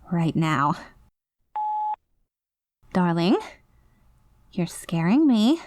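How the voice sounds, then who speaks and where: An obsessive girlfriend from an audio roleplay, acted by a woman, with a medium-pitched female voice. She is sugary and overly polite, with menace and panic held just under the surface. The sound is dry, with no room around it. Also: no room sound. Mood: panicked pleading. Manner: saccharine pet names, pointed over-polite phrasing, veiled threats. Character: obsessive reformed yandere girlfriend, sweetly menacing, barely holding back panic.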